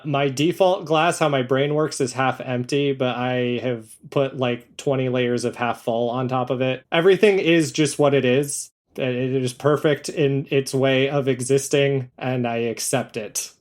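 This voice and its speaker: Guy guest on an interview, earnest nerdy fan chat, quotes himself a lot, mid pitch. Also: steady tone, unhurried, few pauses. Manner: earnest fan talk, frequent quoted asides. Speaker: interview guest, audio drama creator.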